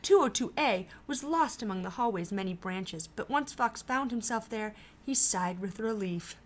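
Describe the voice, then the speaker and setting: A woman narrating a fan audio drama in a calm, even storytelling voice. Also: mid-range voice, normal speed.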